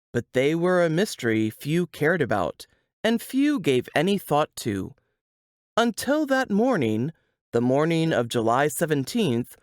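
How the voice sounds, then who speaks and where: A woman reading a suspense novel as an audiobook narrator, measured and ominous. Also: deep voice, dry recording, clean studio sound.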